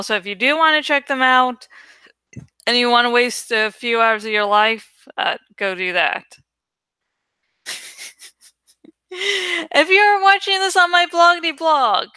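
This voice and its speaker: A woman with a bright, fairly high voice talks casually to her audience as a channel host. She takes her time, with playful, self-mocking asides and the odd swear word. The sound is close and dry. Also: clear, resonant tone, no echo. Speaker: host of a dramatic book-reading channel. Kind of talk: casual chatty outro addressed to viewers.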